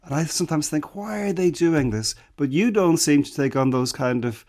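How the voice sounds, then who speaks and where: Man asking relaxed interview questions. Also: on the high side, normal speed. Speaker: radio interviewer, host.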